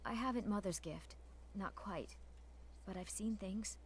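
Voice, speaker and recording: A woman plays a young village seeress from a fantasy game. She is uneasy and cryptic, speaking haltingly at a middling pitch. Faint ambient noise sits behind her. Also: lightly acted. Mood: uneasy, secretive. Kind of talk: fantasy video game dialogue.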